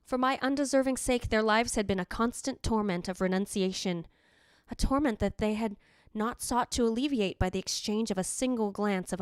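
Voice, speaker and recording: Woman acting a jealous female ghost, brooding, deliberate literary phrasing, medium pitch, radio drama narration, very dry close sound. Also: clear, resonant tone, no echo, close to an everyday voice. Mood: bitter, reflective.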